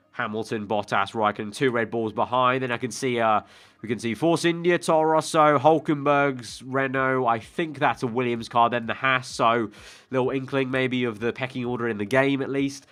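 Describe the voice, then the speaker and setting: A man's voice: mid-range voice, fairly bright tone. A man giving casual, enthusiastic video game trailer commentary in an informal way, often repeating phrases for emphasis. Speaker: gaming video host.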